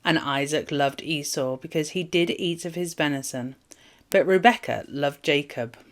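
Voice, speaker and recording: Low-pitched woman reading scripture aloud. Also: moderate pace. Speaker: Bible reader. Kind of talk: scripture reading, formal narration.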